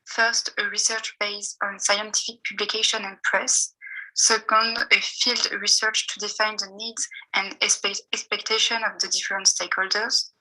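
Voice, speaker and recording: Bright-voiced woman reading a paper. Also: mid-range voice, little pitch movement, poor audio. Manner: careful, measured reading with pauses between phrases. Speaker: PhD student presenter. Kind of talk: reading a seminar paper aloud.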